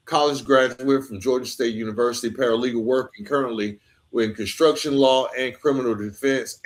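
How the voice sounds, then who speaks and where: A man with a medium-pitched voice hosts a podcast in a casual, conversational style. He talks informally, addressing listeners directly between his interview questions.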